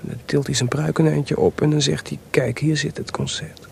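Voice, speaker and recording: A man's voice: on the high side, fairly even intonation, moderate pace, creaky, darkish tone, a little hiss or hum. A man playing an anxious, frustrated court composer in a radio drama, agitated and hesitant in manner. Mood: hushed, brooding.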